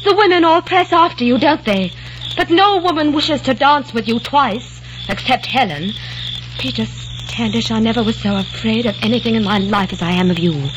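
Actress as a scared, defiant young fiancée, fairly high voice, hissy 1940s radio recording. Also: female voice, normal speed, close to an everyday voice. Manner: tense, defiant. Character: young woman, frightened fiancée breaking off. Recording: noisy old radio recording.

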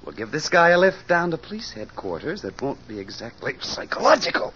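An actor playing a breezy, wisecracking American amateur sleuth in a 1940s radio mystery. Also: male voice, a little high, very animated, brisk, sharp tone. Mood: breezy, confident. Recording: muffled old radio recording, noisy hum.

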